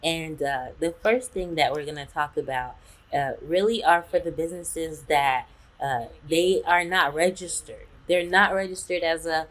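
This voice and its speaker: A woman's voice: sits low, normal speed. A woman hosting a business webinar, introducing her guest with chatty enthusiasm. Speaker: webinar host.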